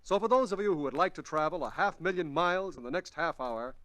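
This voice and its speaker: A man's voice: a little high, expressive, fast talker, a bit bright, no echo. A man announcing a program in 1940s radio style. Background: faint music.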